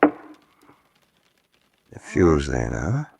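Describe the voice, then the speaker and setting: A man's voice: a bass voice, big pitch swings, drawn-out words, gravelly, exaggerated voice. An actor playing a resourceful, wry man in a radio drama, muttering to himself as he works through a tight spot. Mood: wry, tense. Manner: muttering to himself, wry, clipped British turns of phrase. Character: resourceful trapped man muttering to his animal companion. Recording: faint background noise.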